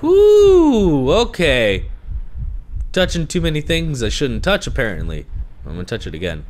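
A man's voice: fairly high pitch, huge pitch swings, unhurried, darkish tone, a little hiss or hum. A young man with a congested voice, nervously talking himself through a horror game into a headset mic in a small room at home. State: congested from allergies. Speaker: gaming video creator.

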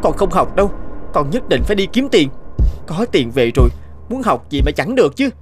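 High, insistent teenage farm boy. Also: very animated, brisk. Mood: pleading, insistent. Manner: earnest, insistent. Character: stubborn, naive teenage farm son. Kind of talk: animated family drama dubbing. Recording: music behind the voice.

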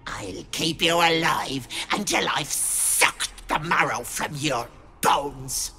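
A woman's voice: on the low side, pushed and bright, heavily acted. A woman playing a vicious, wheedling old hag in a video game, theatrical and taunting with mock-sweet menace. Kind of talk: video game character acting.

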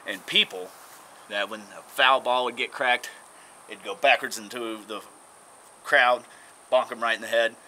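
A young man leads a casual, folksy tour of an abandoned baseball stadium, talking straight to the camera. His voice sits in an ordinary middle pitch. The outdoor camera audio carries clear wind and traffic noise. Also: pushed and bright, low-quality recording. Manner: folksy, chatty tour-guide talk. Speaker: urban exploration vlogger.

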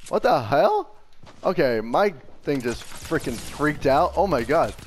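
A man's voice: fairly high pitch, big pitch swings, a little slow, a little hiss or hum. A man hosting a gaming channel, chatting casually and enthusiastically with plenty of slang and swearing. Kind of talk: casual chat over gameplay.

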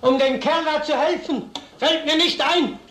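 An actor playing a stubborn, indignant village council president, defiant and blustering, in a crime drama. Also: male voice, very high voice, measured pace, a bit bright. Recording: noisy, roomy old drama soundtrack.

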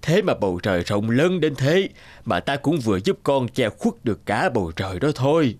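Wise old grandfather for a cartoon fable male actor: mid-pitched, very expressive, gently instructive, music underneath. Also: normal speed. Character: kindly wise old grandfather giving life advice.

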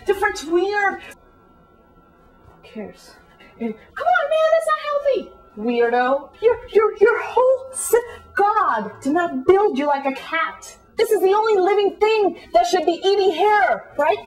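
A very high-voiced, judgmental woman played by a female monologist holds forth in a comic monologue. She is indignant and condescending, firing off dramatic rhetorical questions with open scorn. Music is part of the recording. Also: very animated, slow, heavily acted. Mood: scornful, indignant. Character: judgmental, scornful woman, switching between English and Spanish.

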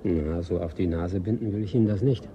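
A man's voice: low voice, brisk, muffled recording, noisy background, natural voice. An actor playing a secretive, eager man who digs for salvage, cagey and confiding, in a German radio drama. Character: secretive, eager male scrap digger.

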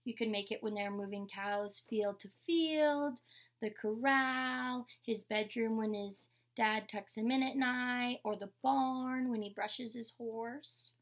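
A young adult woman reading a picture book aloud like a teacher, in a measured, instructional way. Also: a little high. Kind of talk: picture-book read-aloud with short explanations. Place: indoor room with painted mural wall.

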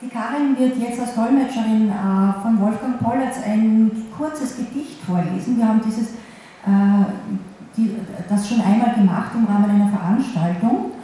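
A woman interpreter voicing a signed lecture in the first person, in a halting way with restarts and pauses, in a large wood-panelled hall. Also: on the high side, mellow, a little hiss or hum. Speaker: sign language interpreter. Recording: very echoey hall, faint ambience.

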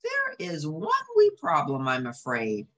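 A woman voicing a gallant, gentle little terrier with courtly turns of phrase in a storybook reading. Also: high voice, wildly swooping pitch, measured pace, creaky, voice pushed up, full character voice. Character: gallant wee Scottish terrier, eager and gentle.

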